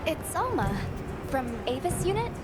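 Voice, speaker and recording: Game handler: young, courteous, earnest, distinctly high register, heavy score underneath. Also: female voice, big pitch swings, unhurried, full character voice. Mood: polite inquiry. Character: polite, earnest young woman guild expedition handler. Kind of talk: video game cutscene acting. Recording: loud music behind. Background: loud music.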